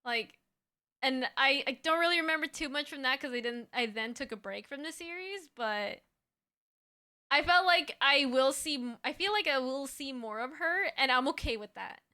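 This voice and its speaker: A woman's voice: sits high, lively intonation, normal speed, bright, forceful tone. A woman hosting a casual livestream chat, friendly and upbeat, with a voice that is slightly tired. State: voice slightly raspy from tiring. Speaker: streamer host, VTuber.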